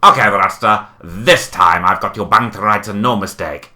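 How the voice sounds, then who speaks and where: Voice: low-pitched, moderate pace, pushed and bright. A performer voicing a blustering, clueless weasel time traveller in a comic sci-fi audio drama, chatty and pompous. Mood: stern. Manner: pompous, chatty, self-assured.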